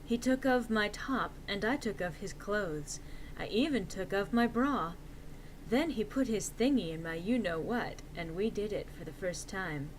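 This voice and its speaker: A woman's voice: medium pitch, lively intonation, unhurried, a little hiss or hum, rough recording. A woman reading a story aloud as narrator in a theatrical, mock-serious and emphatic comic style.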